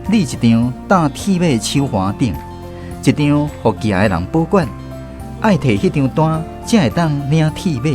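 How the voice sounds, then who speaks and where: A reflective narrator looking back in a radio drama. His mid-pitched voice is lively in melody and has a Taiwanese accent. He explains things conversationally, with music clearly behind. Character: reflective male storyteller recalling his youth. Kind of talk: scripted first-person radio drama narration.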